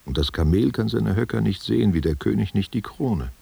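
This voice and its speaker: A man's voice: on the low side, animated, brisk, vocal fry, warm tone, breathy edge, full character voice. An actor playing an old man, a reminiscing stage player who talks tenderly and theatrically in a literary radio drama. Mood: musing. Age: older. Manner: musing, theatrical, answering unheard questions. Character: reminiscing old German actor, tender and musing over wine.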